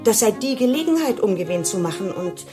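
Swindled woman witness, played by an actress: trusting, regretful, mid-range voice, radio play over clear music. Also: medium pitch, sing-song, a little fast, natural voice. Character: trusting deceived woman recounting lost savings. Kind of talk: German radio crime drama acting.

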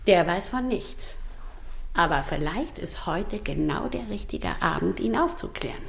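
A woman playing a brisk, bossy but good-hearted hotel employee with a teasing manner, in a German children's radio drama. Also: fairly low pitch, animated, gravelly, echoey room. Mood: amused, kind. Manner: firm, teasing.

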